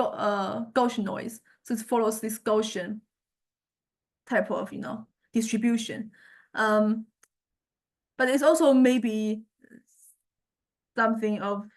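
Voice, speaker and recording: A woman giving an informal software demonstration lecture, thinking aloud and often searching for words as she explains. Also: fairly high pitch.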